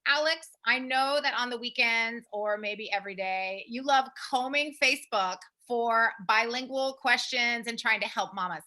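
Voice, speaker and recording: A woman's voice: a little high, normal speed, few pauses, sharp tone. A young American woman co-hosting a casual advice chat, speaking emphatically and repeating her points. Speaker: host, language program founder.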